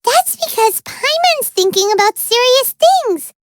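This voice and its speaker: A woman's voice: very high voice, sing-song, unhurried, slight background noise, exaggerated voice. A woman voicing a tiny, childlike fairy companion in a video game, greedy, naive and dramatic, with an exclamatory, whiny manner. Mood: envious, earnest. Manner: speaks of self in third person, exclamatory, whiny. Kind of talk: video game character dialogue.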